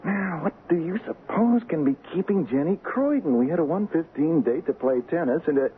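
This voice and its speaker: A man's voice: on the high side, animated, moderate pace, natural voice. An actor playing a breezy, casual, affectionate man in an old radio drama, with tinny, noisy broadcast sound. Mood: breezy, eager. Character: breezy, affectionate, not-so-young ordinary man. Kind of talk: 1970s radio drama acting.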